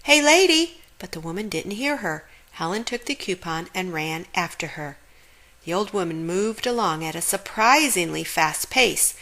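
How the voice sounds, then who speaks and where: A woman reading a children's picture book aloud in an expressive storytelling style. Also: on the low side, normal speed. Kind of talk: expressive read-aloud narration.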